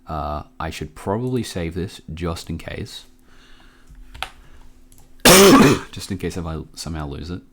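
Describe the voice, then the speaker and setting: A man hosting a casual software tutorial, informal and a bit hesitant as he thinks aloud. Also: low voice, very animated, takes it slowly, long pauses, very noisy. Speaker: tutorial host.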